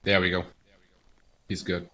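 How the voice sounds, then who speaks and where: Man, low voice, improvising a police officer for game role-play; informal procedure talk, slight background noise. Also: monotone, a little slow, pushed and bright.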